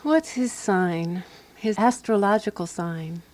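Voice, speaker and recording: Radio play: actress as practical woman companion to a sleuth, medium pitch, skeptical, dry, measured delivery. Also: darkish tone, lightly acted. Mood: curious. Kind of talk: radio drama acting.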